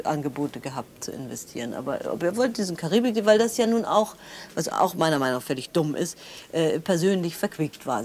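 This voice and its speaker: An actress playing an opinionated sister in a naturalistic audio drama, talking chattily with halting restarts and tag questions. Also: female voice, low voice, a little mellow, slight background noise. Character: animated, opinionated sister of a wealthy man, accusing.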